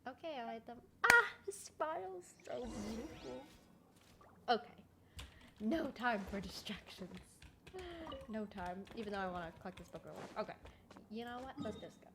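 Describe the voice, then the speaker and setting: A woman's voice: a little high, moderate pace. A woman giving casual, slangy commentary as she plays a game, in short offhand reactions. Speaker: gaming video creator. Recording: noisy mic with clicks over game audio.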